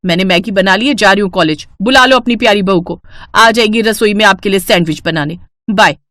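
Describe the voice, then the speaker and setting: A woman's voice: mid-range voice, a little fast, a bit bright, natural voice. A woman voicing a sulky, jealous college student in a cartoon family story, whining and defiant. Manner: whiny, defiant complaining. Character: sulky, jealous college-girl sister-in-law.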